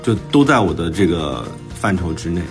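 A man's voice: low-pitched, very animated, normal speed. A man speaking as an author in a casual book talk, explaining his thinking in a reflective way. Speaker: author and podcast host discussing their own book. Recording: music playing behind the talk. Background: background music.

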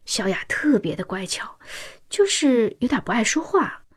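A working-class mother in a sci-fi radio drama, her voice high and swinging widely in pitch. She is protective and anxious, sighing and pleading with open emotion as she speaks of the child she adores. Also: big pitch swings, normal speed, breathy edge. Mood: tender, wistful. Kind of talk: science-fiction radio drama acting.

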